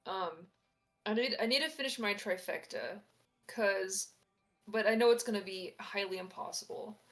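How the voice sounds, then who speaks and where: A woman's voice: mid-range voice, normal speed, fairly bright tone, soft background music. A woman chatting casually on a livestream, telling personal anecdotes in a rambling, conversational way.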